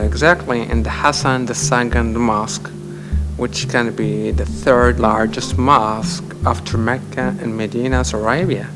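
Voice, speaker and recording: Man guiding a tour, halting. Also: mid-range voice. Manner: careful, halting phrasing. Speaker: mosque guide. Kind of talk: guided tour explanation.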